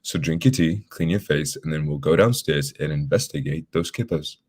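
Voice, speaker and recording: A man's voice: a bass voice, moderate pace, heavy background noise. A man playing a dry, condescending, cerebral detective with sardonic delivery in an old-time radio drama. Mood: brisk, sardonic.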